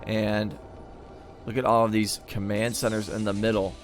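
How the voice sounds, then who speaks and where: A man's voice: lowish voice, little pitch movement, moderate pace, faint music behind. A man giving live commentary on a real-time strategy match in an easygoing, thinking-aloud way, and he is losing his voice. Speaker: game caster.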